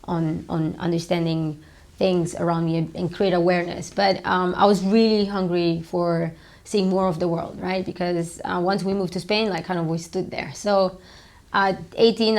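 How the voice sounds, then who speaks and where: A woman in her thirties from Romania, the founder of a startup, answering interview questions in a loose, rambling way with many "um"s and "like"s, in an office. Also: on the low side.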